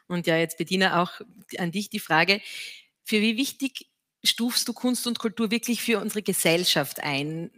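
A woman's voice: mid-range voice, moderate pace, a bit bright. A young woman moderates a stage panel, speaking into a headset mic. Her mid-range voice poses friendly questions to the guests.

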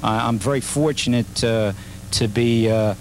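A middle-aged restaurant manager interviewed on camera, rambling with many fillers and restarts. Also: male voice, mid-range voice, fairly even intonation, measured pace, slight background noise. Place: indoor dinner theater stage. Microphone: handheld mic.

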